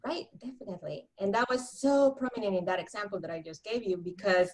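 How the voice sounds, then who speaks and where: A woman from Honduras with a Honduran Spanish accent, telling her story in a lively conversational interview, laughing often and repeating words when worked up. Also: medium pitch, fast talker, rough recording. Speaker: guest counselor.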